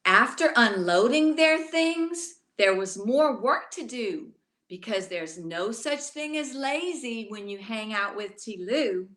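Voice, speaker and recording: A woman from Louisiana reading a bedtime story aloud to children in an expressive way, speaking directly to her young listeners. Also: on the high side, takes it slowly, reverberant.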